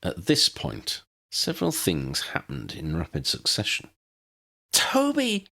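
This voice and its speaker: A man's voice: very deep voice, huge pitch swings, a little slow, vocal fry, sharp tone, slightly rough. A man reading a scripted story as an audiobook narrator.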